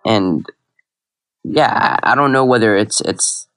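A man's voice: lowish voice, slow pace, a bit bright, dry recording, faint music behind. A young man from Iraq, a guest in an interview, recounting his past in a reflective way with frequent restarts. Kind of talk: personal storytelling in an interview.

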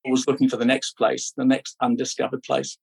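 A middle-aged man born in Scotland and raised in Australia, a podcast guest chatting in a relaxed, rambling way with many fillers. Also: mid-range voice, fairly quick, creaky, husky, rough recording. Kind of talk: casual interview chat.

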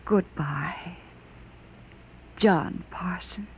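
Slow, hushed, wistful android woman. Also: fairly low pitch, very noisy. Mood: tender sorrow. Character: gentle artificial woman awakening to human sadness. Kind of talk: 1950s radio drama acting. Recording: muffled vintage radio recording.

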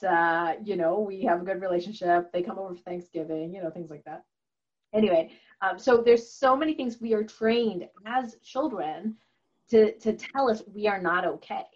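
A woman's voice: mid-range voice, slightly rough. Woman, chinese first language, chatty guest, laughs a lot, kinda muffled audio. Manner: earnest and chatty, laughs often, many fillers. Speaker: guest, holistic doctor and author. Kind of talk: conversational interview answer. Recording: slightly dull, lo-fi audio.

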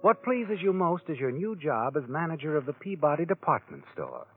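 Sly american radio mystery narrator, swoopy delivery, music under, tinny old recording. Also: male voice, on the high side, sing-song, a little fast. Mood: sly, knowing. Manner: knowing, taunting, speaks directly to the character. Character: sardonic all-knowing male narrator taunting the guilty man. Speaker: host and narrator. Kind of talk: 1940s radio crime drama narration.

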